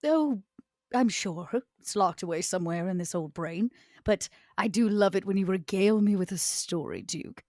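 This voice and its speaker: A woman voicing an elegant, scheming century-old vampire aristocrat in a tabletop roleplay, with measured, formal, deliberate phrasing. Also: mid-range voice, a little mellow, dry recording, studio quality, natural voice. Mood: indulgent.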